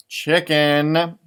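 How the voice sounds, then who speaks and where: A man hosting a children's storybook read-aloud, expressive and playful, adding chatty asides and wondering aloud. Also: a little high, smooth. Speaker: storytime read-aloud host, music teacher.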